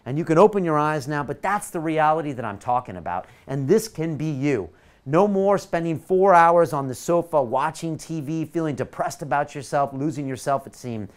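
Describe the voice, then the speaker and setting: A man's voice: fairly high pitch, animated, normal speed. A man from California, hosting an online masterclass and leading a motivational guided visualization with eager, coaxing energy.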